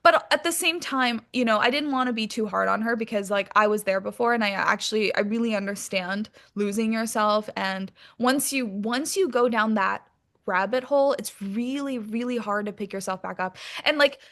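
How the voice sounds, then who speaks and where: A woman with a very bright, forward voice gives a candid interview. She talks informally and with animation, in a slightly high register, like someone chatting casually. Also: normal speed. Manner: animated, informal, peppered with "like" and "you know". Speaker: interview guest, actress.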